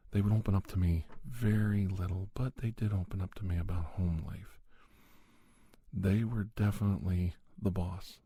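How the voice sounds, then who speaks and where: Male ASMR podcast host, low husky voice, reflective and measured monologue delivery, close and dry. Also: dark tone, dry recording.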